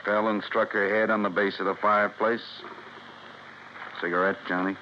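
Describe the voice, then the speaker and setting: A man's voice: fairly low pitch, little pitch movement, close to an everyday voice. A man playing a grim, guarded police sergeant in an old radio crime drama, speaking in terse phrases. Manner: terse, deliberate, clipped. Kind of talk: 1950s American radio crime drama acting. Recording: muffled old radio recording, faint noise.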